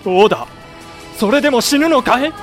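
A young actor playing a loyal samurai officer who narrates soberly and pleads with fiery passion. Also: male voice, very high voice, lively intonation, fairly quick, soft background music. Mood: fervent, impassioned. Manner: passionate, rising to near shouting when pleading. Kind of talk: audio drama acting and period narration.

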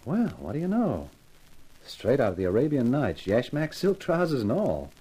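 A man's voice: medium pitch, huge pitch swings, moderate pace, dark tone, breathy edge, low-quality recording. A man playing a breezy, irreverent, hard-drinking detective, acting and narrating in a colloquial way in a 1950s radio mystery. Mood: breezy narration. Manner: wry, colloquial, hesitant asides.

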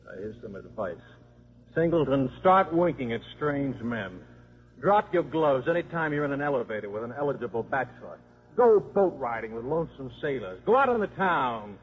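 A man's voice: mid-range voice, sing-song, moderate pace, breathy edge, soft background music. An actor playing a cynical, combative American football coach and father, slangy and sardonic, in a 1950s radio drama. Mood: scornful. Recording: dull, noisy 1950s radio recording.

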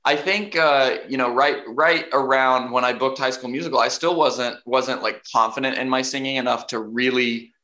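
A young man, an actor, answering interview questions casually, hesitant and full of restarts, with a fairly deep voice. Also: steady tone, normal speed, hardly any pauses, sharp tone. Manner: hesitant, many restarts and fillers, laughs easily. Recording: roomy echo.

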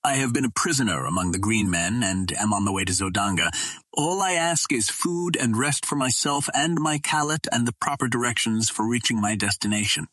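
A man reading the part of an earnest, courteous soldier-adventurer in an audiobook, with formal, measured wording. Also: mid-range voice, expressive, a bit bright, dry recording.

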